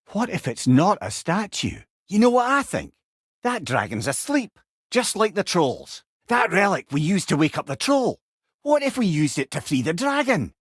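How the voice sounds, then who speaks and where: An actor playing a wry, clever older male storyteller companion in a video game, with a Scottish accent and a droll, expressive manner. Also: sits high, no room sound, studio quality. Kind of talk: video game character dialogue.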